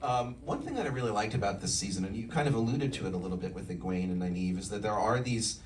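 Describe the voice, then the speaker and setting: A man's voice: on the low side, quick pace, a little hiss or hum. A man asking long, rambling questions at a live screening Q&A, full of fillers. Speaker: interviewer. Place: screening event hall. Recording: echoey room, distant mic.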